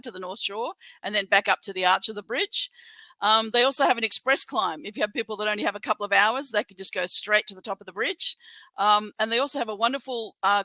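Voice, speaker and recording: A woman's voice: mid-range voice, bright, forceful tone, no room sound, low-quality recording. A middle-aged woman with an Australian accent from Sydney, presenting a tourism webinar in an upbeat, promotional way with frequent ums. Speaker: tourism regional manager.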